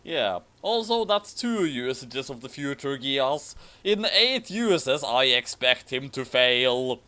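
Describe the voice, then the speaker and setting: Slow-paced animated man reading aloud. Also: medium pitch, clear, resonant tone. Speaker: reader and host of a fan fiction commentary. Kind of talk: dramatic story reading with casual commentary.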